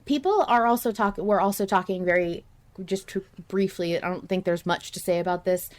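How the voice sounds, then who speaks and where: A woman's voice: mid-range voice, normal speed. A woman hosting a podcast in casual discussion, thinking aloud and hedging her opinions as she goes. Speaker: podcast host.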